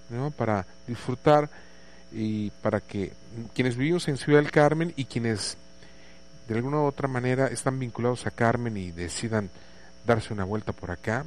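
A man with a Mexican Spanish accent hosting a morning radio show, chatting casually, reading listener messages and news with many fillers. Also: medium pitch.

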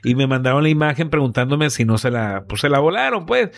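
A man's voice: medium pitch, animated, brisk, gravelly. A man with a Mexican accent, hosting a morning radio show with casual, chatty banter. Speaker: radio host.

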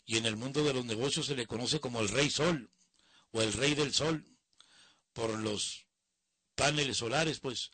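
A man's voice: medium pitch, measured pace, long pauses, sharp tone, slightly rough, no echo. A man reading news items aloud and breaking in with mocking, opinionated asides. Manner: mocking, opinionated asides between read passages. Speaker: news commentator. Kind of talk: reading news with casual commentary.

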